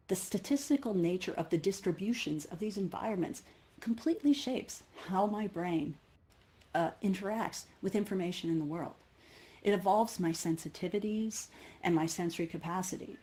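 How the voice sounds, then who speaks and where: A woman delivers a conference keynote in a voice that sits a little low. She speaks as if thinking aloud, halting and rewording her points, and mixes explanation with personal storytelling. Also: mellow.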